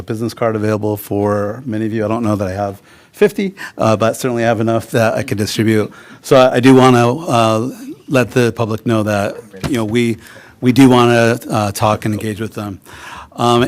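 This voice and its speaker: Halting man addressing a review board. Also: fairly low pitch. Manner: halting, many uhs and restarts. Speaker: project applicant representative. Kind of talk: addressing a public board meeting. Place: council meeting room.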